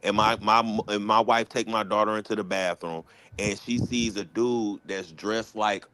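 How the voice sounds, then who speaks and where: A man's voice: on the low side, steady tone, normal speed, fairly bright tone, no room sound, poor audio. A man on a debate panel arguing emphatically. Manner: argumentative, emphatic. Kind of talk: heated debate.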